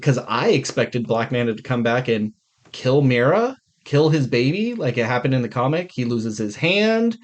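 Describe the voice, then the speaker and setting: A man's voice: medium pitch, very animated, moderate pace. Casual male podcaster, blunt and profane. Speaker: podcast co-host. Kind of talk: casual film review chat.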